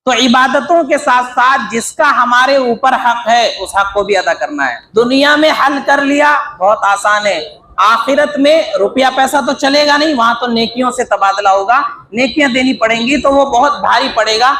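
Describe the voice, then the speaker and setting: A man with an Urdu accent giving an earnest religious talk, listing devout phrases in a steady, exhorting rhythm. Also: very high voice, normal speed. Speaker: Islamic preacher. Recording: echoey room with faint background noise.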